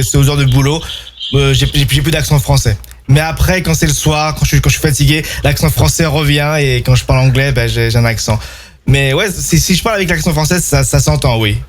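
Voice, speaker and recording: A young man talks in a relaxed, halting way, doubling back on his words as he goes. His voice sits a touch higher than most men's. Faint music plays quietly behind him. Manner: hesitant, repeats words. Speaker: co-host living abroad. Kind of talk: casual podcast chat.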